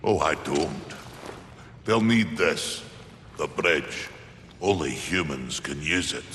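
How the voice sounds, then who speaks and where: A man playing a gruff, patient giant maker who guards humanity in a video game, solemn and wry. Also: extremely low pitch, lively intonation, a bit bright, heavily acted. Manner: solemn, measured, wryly amused. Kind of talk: video game cutscene acting. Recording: echoey hall, faint background noise.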